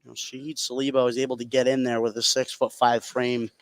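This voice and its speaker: A man's voice: medium pitch, level tone, fairly quick, dry recording. A man giving casual, observational color commentary during a live basketball broadcast. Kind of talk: live sports analysis, conversational. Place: indoor gym at a basketball game. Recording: clear gym noise behind the voice.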